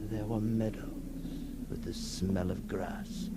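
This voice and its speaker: A man playing a tormented, awestruck figure emerging from long confinement in a theatrical 1960s radio horror monologue, halting and breathless, repeating his words. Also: on the low side, little pitch movement. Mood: wistful, unsettled. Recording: noisy old 1960s radio recording.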